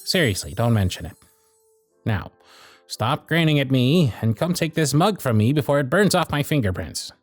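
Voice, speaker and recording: A man playing a bossy but fond elf workshop supervisor in an ASMR roleplay, brisk and teasing with soft asides. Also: medium pitch, sing-song, no echo, soft background music. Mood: teasing, reassuring. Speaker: voice actor. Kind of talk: ASMR roleplay audio drama.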